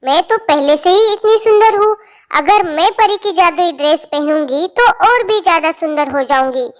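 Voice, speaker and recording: A jealous fairy-tale stepsister, acted by a woman, has an extremely high, loud voice. She is vain and greedy, boasting as she talks. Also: animated, moderate pace. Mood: envious, boastful.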